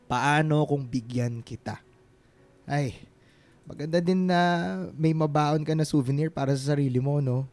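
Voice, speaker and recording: Man playing a highish-voiced friendly old flame. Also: unhurried, long pauses, a little mellow, close to an everyday voice. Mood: gently teasing. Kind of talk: naturalistic romantic radio drama dialogue.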